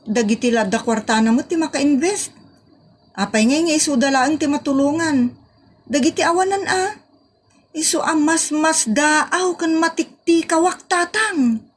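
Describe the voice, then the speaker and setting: A woman's voice: high-pitched, a little slow. A woman with an Ilocano accent acting a sassy, easily scandalised village woman in a comedy audio drama. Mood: questioning.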